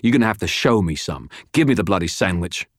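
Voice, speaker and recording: A man's voice: low-pitched, lively intonation, slow pace, a little mellow, dry recording, clean studio sound, full character voice. Man acting a smug, sneering crook. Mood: demanding. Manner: sneering, slangy, cocky. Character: smug, snarling British male criminal, heavyset and insolent.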